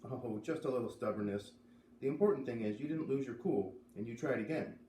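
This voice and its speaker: A man's voice: medium pitch, fairly quick, mellow, natural voice. Man voicing a kindly, lilting show judge, echoey. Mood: reassuring. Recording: roomy, distant mic, faint noise.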